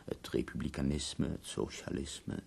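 A bedridden poet near death in a radio play. His voice is extremely low, gravelly and husky, and he speaks with wry, theatrical eloquence, leaving weary pauses between phrases. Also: flat delivery, a little mellow, full character voice. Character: bedridden, dying poet, ironic, complaining and theatrical. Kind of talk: radio drama acting.

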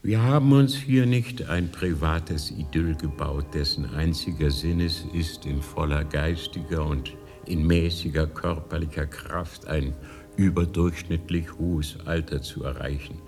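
A man playing an ancient, fatherly high lama in a radio drama, speaking in a solemn, deliberate storytelling manner. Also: darkish tone, soft background music. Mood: solemn, visionary. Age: older. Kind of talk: 1980s German radio drama acting. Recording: long reverberant hall.

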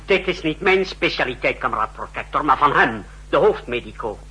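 A man's voice: medium pitch, expressive, a little fast, pushed and bright, husky, full character voice. A man acting an indignant, defensive security chief who shifts blame, in a 1960s Dutch radio drama.

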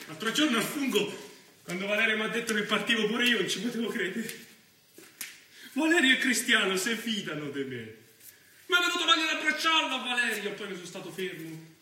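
High-voiced young Roman militant, echoey. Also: male voice, big pitch swings, fairly quick, sharp tone, heavily acted. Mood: restless, confiding. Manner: foul-mouthed, slangy, repetitive. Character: young Roman neo-fascist militant, crude, restless, swaggering. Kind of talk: theatrical monologue. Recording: echoey room from far off, faint noise.

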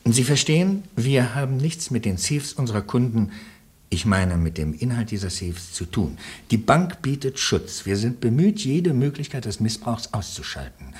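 A man's voice: medium pitch, sing-song, normal speed, darkish tone, natural voice. An actor in a German radio play, playing a polite, officious male bank official with a formal, insistent manner. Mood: insistent, defensive.

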